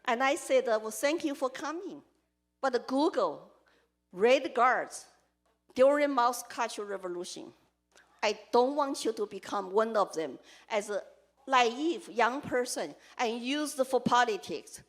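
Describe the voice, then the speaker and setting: A woman with a Chinese accent speaks to a live audience as a congressional candidate. Her voice sits high, and she delivers her points earnestly in short, emphatic bursts. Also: lively intonation, measured pace. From China. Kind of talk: campaign speech to a live audience.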